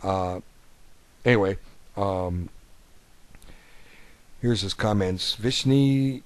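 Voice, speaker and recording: Low-voiced man casually commenting on chess. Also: drawn-out words, darkish tone, dry recording. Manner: rambling, conversational, folksy. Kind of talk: informal game commentary. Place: voice-over of an on-screen chess board.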